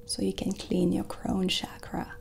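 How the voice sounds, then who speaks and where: A woman whispering softly and intimately in a soothing, breathy voice, as an ASMR host in a roleplay. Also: mid-range voice, completely flat delivery. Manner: soft, slow, soothing, intimate. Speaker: ASMR host playing a witch shopkeeper. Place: small dim indoor set.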